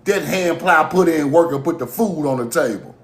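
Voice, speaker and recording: Man, high voice, livestream rant, profane, echoey room. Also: moderate pace. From Mississippi. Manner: animated, profane, laughing rants. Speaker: livestream host. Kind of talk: heated livestream monologue.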